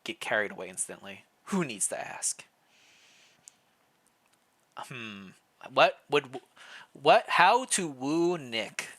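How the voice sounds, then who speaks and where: A man with a bright, punchy voice in a middle register hosts a casual livestream. He moves between story narration read aloud and playful, think-aloud chat. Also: medium pitch, big pitch swings, slow, pauses often. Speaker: streamer, host reading fan fiction.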